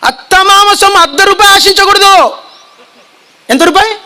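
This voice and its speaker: A man preaching a fiery sermon, shouting and having the congregation repeat his lines. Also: very high voice, moderate pace.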